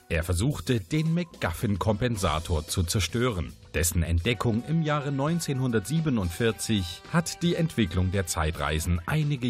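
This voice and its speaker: A man giving a scripted narration of the case solution. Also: lowish voice.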